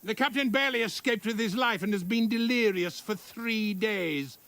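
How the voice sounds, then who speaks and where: An actor playing a stern, sardonic castle commander in a video game. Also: male voice, high-pitched, moderate pace, a bit bright, no echo. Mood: dismissive, sardonic. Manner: condescending, sardonic. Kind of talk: cinematic video game acting.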